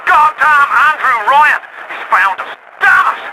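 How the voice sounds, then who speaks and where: Gritty Irish rebel over noisy radio. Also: male voice, extremely high pitch, expressive, measured pace. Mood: frantic, alarmed. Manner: urgent, folksy, coaxing. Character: gritty working-class rebel man guiding a newcomer by radio. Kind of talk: video game voice acting. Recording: noisy lo-fi radio game clips.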